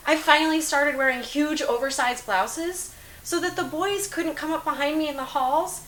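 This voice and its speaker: A woman's voice: high voice, moderate pace, fairly bright tone, pitched above the performer's own voice. A woman playing a sympathetic, confiding teenage girl in an amateur dramatic dialogue reading. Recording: roomy echo, hiss in background.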